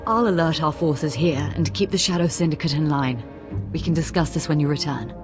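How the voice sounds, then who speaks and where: An actress playing a poised, businesslike imperial diplomat and spymaster in a video game, speaking formally. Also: female voice, low-pitched, a little fast, over music, close to an everyday voice. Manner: formal, polished. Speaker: imperial special operations chief.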